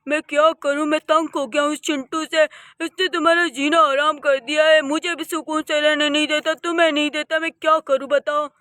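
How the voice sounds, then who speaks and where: A woman's voice: high voice, little pitch movement, normal speed, pushed and bright, no echo, studio quality. A woman voicing a weary young father who complains in a tired voice. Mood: fed up.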